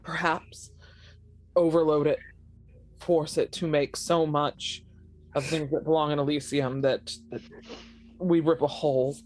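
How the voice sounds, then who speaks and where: A woman's voice: low-pitched, fairly even intonation, measured pace, pauses often, darkish tone, a little hiss or hum, low-quality recording. A woman playing a shaken, sick and desperate young woman with a brooding bent, in a horror tabletop roleplay. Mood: strained, reasoning.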